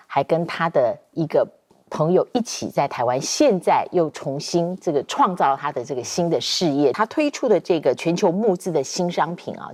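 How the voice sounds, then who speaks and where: A woman with a Taiwanese accent hosting a broadcast interview, speaking deliberately in short phrases. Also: sits low, darkish tone. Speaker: television interview host. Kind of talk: broadcast interview, switching between Mandarin and English.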